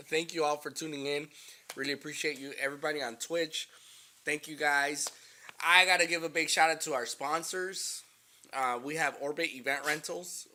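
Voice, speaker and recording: A man hosting a casual interview, relaxed and encouraging, with lots of fillers. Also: fairly high pitch. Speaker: podcast host.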